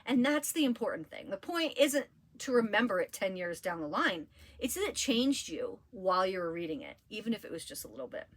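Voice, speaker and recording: A woman's voice: mid-range voice, very animated, a little fast. A woman giving casual, chatty recommendations like a librarian, with an enthusiastic, gushing and emphatic way of talking. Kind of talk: casual, chatty book review.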